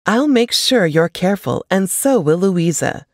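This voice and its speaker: A woman voicing a practical, protective older sister in a novel reading, reassuring and gently bossy. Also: on the low side, big pitch swings, unhurried, no echo, studio quality, voice pushed up.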